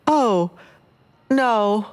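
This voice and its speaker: A woman voicing an exasperated, flustered male priest in an audio drama, speaking in a deliberate, protesting manner. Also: fairly high pitch, animated, voice pushed up.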